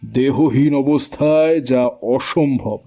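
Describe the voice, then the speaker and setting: Man playing grave humble tantric, middle-aged, slow speech, soft dark timbre, ominous horror radio play, music bed. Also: mid-range voice. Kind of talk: Bengali audio horror drama acting. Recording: background music under the voice.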